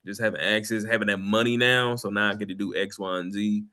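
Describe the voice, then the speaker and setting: A man with an American accent and a lowish voice that has a bright, forceful edge. He speaks casually, as in a podcast conversation. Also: fairly even intonation, quick pace.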